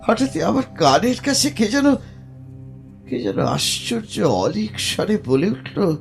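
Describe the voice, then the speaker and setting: A man playing a tormented, haunted young man in a Bengali audio drama, speaking in a distraught, halting way. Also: high voice, very animated, gravelly, soft background music, exaggerated voice. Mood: haunted, tense. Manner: distraught, halting, repeats phrases emphatically.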